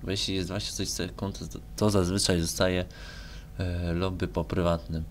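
A man's voice: deep voice, little pitch movement, moderate pace. A young man from Poland talking casually and hesitantly as he plays a game, in a rambling, colloquial way. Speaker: gaming video creator. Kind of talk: casual rambling talk over gameplay. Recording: noisy home microphone recording. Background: noise with car engine sounds from the game.

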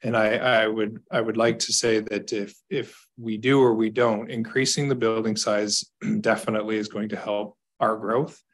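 A Canadian man in his thirties, a staff member reporting to a council over a video call from an office, measured and hesitant with fillers. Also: lowish voice, level tone. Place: small office.

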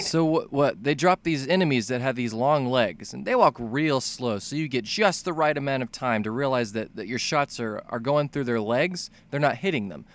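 A man doing an exaggerated, profanity-laden comedic voice-over about a video game, swinging between mock-clueless talk and excited outbursts. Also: medium pitch. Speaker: video game commentator, fan-dub voice-over.

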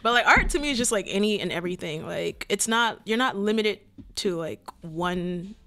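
A woman's voice: mid-range voice, expressive, normal speed, sharp tone, a little hiss or hum. A young adult woman from Cameroon, a photographer and artist, talking as a podcast guest in a playful, self-mocking way with giggles. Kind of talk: casual interview chat. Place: small podcast studio. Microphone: studio mic on stand.

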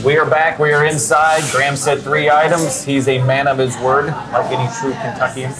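A man in his forties hosting a food show, energetic and playful, talking to viewers on a lapel mic in a restaurant. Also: mid-range voice, normal speed. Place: restaurant, indoors. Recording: loud music behind.

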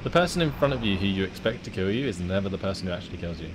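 A man's voice: low voice, animated, rapid-fire. A man in his twenties giving casual, slangy commentary and chatting with a friend while he plays a game. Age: 20s. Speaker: gamer recording gameplay with a friend. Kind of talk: casual gaming chat and live commentary. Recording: clear gunfire and vehicle game noise behind. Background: war video game sounds.

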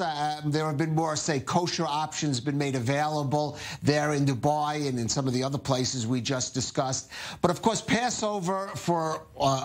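Middle-aged male TV anchor, fairly high voice, easy conversational questioning. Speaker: news anchor. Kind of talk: TV news interview. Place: TV studio.

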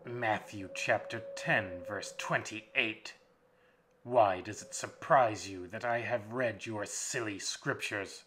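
A man's voice: on the low side, slow, a bit bright, slight background noise. A man voicing a cruel, mocking male vampire master who sneers and gloats in a dramatic audiobook reading. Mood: scornful.

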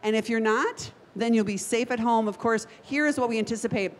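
A woman's voice: fairly high pitch, brisk, long reverb tail, slight background noise. A woman TV meteorologist, giving a live forecast from a state fair stage, upbeat and chatty with her guests. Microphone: handheld mic.

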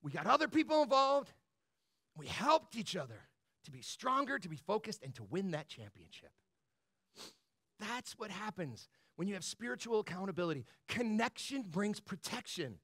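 A man preaching as a pastor in a conversational, motivational style, colloquial and animated, often checking in with the listeners. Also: sits high, normal speed, no echo, clean studio sound. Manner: animated, colloquial, punctuates points with "right?" and "bro".

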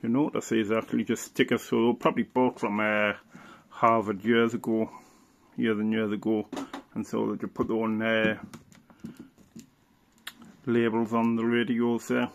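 A man's voice: on the low side, little pitch movement, moderate pace, gravelly, long pauses. A man giving an informal, unscripted hands-on demonstration, talking hesitantly and sometimes trailing off. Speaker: presenter showing vintage radio gear. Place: indoors at a worktop.

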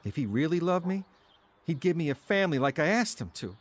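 A man's voice: fairly high pitch, very animated, fairly quick. An actor playing a troubled, wavering young man telling his life story in a radio drama. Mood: defensive. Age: young adult. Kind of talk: radio drama narration and dialogue.

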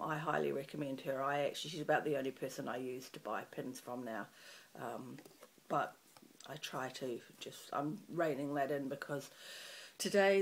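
Croaky New Zealand woman vlogging. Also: deep voice. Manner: chatty, rambling with many ums. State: croaky early-morning voice. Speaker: craft channel host. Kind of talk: casual chat to camera. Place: small room in front of a festive fabric backdrop.

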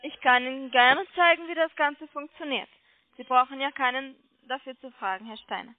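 High-voiced woman on a muffled phone line. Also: normal speed, dry recording. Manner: persistent, polite, coaxing with check-back questions. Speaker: telemarketing caller selling online trading. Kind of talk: sales pitch over the phone. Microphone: telephone call.

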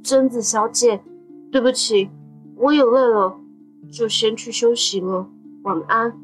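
A woman playing a gentle, anxious fourteen-year-old boy in an audio drama, hesitant, earnest and polite. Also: a little high, steady tone, a little slow, lightly acted. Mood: concerned. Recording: background music under the voice.

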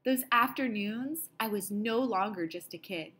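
A woman reading a children's picture book aloud in an expressive style, with deliberate, dramatic phrasing. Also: medium pitch, a little hiss or hum. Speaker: storybook reader.

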